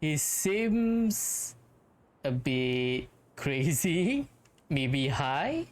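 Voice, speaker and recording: A woman gives casual gameplay commentary in a low, slow voice. Her intonation moves around a lot. Game music plays behind her. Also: big pitch swings. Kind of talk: casual let's-play reactions. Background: video game music and sounds.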